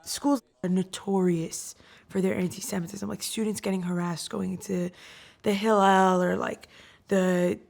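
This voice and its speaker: A woman's voice: medium pitch, steady tone, unhurried, creaky, darkish tone. A young woman, a first-generation college student, speaking casually in a documentary interview with many "like" fillers. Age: young adult.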